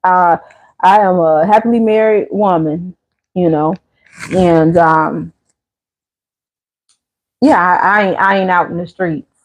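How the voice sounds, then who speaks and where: A woman's voice: fairly low pitch, fairly even intonation, slow pace, pauses often, poor audio. A woman, casually chatting on her channel's live stream and answering viewers' comments. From Chicago area. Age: 50s. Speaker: channel host.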